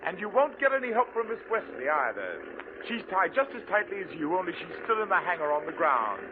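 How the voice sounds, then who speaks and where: An actor playing a young murderer pilot in a theatrical 1940s radio crime drama style, on a tinny, noisy old recording. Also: male voice, high voice, very animated, brisk. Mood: gloating. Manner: taunting, theatrical. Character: gloating young male murderer pilot, turns panicky.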